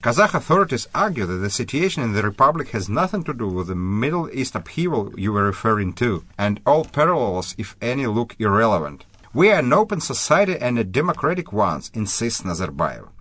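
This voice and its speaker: A man from Ukraine speaking as a panelist in a studio discussion, laying out prepared facts in a measured, emphatic way. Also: medium pitch, big pitch swings, fairly bright tone, husky, no echo.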